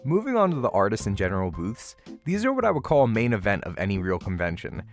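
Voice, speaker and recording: A man's voice: fairly low pitch, huge pitch swings, fast talker, soft background music. A man narrating a convention vlog in a casual, slangy voice-over with wry, playfully exaggerated commentary. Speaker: vlogger narrating a convention walkthrough.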